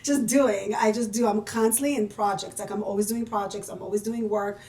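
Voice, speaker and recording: A woman's voice: mid-range voice, fairly even intonation, fairly quick, darkish tone. A woman from Israel, a podcast guest, talking in a chatty, self-deprecating way and laughing easily. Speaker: podcast guest, business owner. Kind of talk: casual conversational interview. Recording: roomy and distant from the mic.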